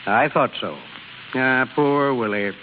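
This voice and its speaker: A man's voice: mid-range voice, slow, lightly acted. An actor playing a droll, sardonic middle-aged father of grown daughters in a vintage radio drama, dry and teasing. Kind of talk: 1930s-40s radio drama acting. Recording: reverberant, dull old radio recording, faint hiss.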